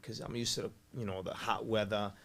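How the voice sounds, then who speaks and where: A man's voice: on the low side, little pitch movement, a little fast. A man in his forties from Puerto Rico, raised in Brooklyn, telling his story as a podcast guest in a laid-back way. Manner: laid-back, many "you know" fillers, laughs easily. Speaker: radio DJ, guest. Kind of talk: casual interview storytelling.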